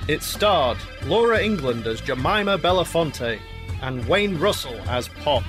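A man's voice: a little high, lively intonation, a little slow. A man giving scripted end-credit narration as an announcer. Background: guitar music.

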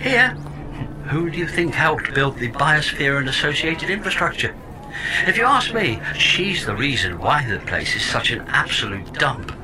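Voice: medium pitch, very animated, moderate pace, music in the background, close to an everyday voice, poor audio. An actor playing a chatty pod AI that lives in a woman's head, cheerfully wry and theatrical, in a sci-fi audio comedy. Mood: catty.

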